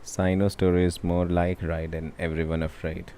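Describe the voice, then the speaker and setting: A man's voice: deep voice, level tone, moderate pace, dark tone, slight background noise. A man from India, giving casual, wry, laid-back live commentary as a game streamer.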